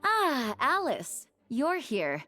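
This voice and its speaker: A woman's voice: high-pitched, huge pitch swings, extremely slow, pushed and bright, soft background music, exaggerated voice. A woman playing a dutiful, earnest knight officer in a video game, formal and polite. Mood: apologetic, firm. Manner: formal, courteous, apologetic. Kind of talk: video game dialogue acting.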